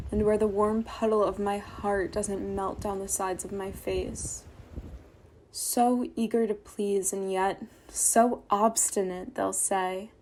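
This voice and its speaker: Woman poet, soft and measured, slow even delivery on a video call from home. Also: mid-range voice. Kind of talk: poetry reading.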